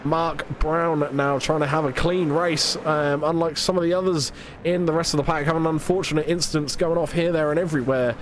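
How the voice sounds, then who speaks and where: A man's voice: a little high, steady tone, noisy background. A British man commentating alone on a live sim race, chatty and self-deprecating, his voice a bit stuffed up from hay fever. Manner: chatty, self-deprecating, quick play-by-play with fillers.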